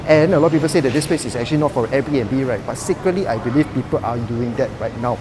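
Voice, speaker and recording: A man's voice: mid-range voice, quick pace, noisy background. A young man with a Malaysian English accent chatting casually as he walks viewers through a residential complex on a property tour vlog. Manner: chatty, upbeat, colloquial with "lah" tags. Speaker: property vlogger. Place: outdoors and in a residential complex, walking around.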